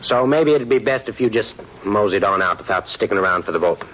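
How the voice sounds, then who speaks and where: An actor playing a blustering frontier bully who wants to be sheriff, drawling and menacing in a 1950s radio play. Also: male voice, fairly low pitch, expressive, fairly quick, a bit bright. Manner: menacing at first, then stammering. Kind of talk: 1950s radio drama acting. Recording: muffled vintage radio broadcast with hiss.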